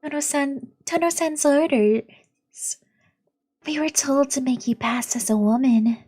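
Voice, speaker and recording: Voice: sits high, a little slow, a little mellow, voice pushed up. A streamer voicing a coaxing, matter-of-fact adult woman while reading visual novel dialogue aloud over music.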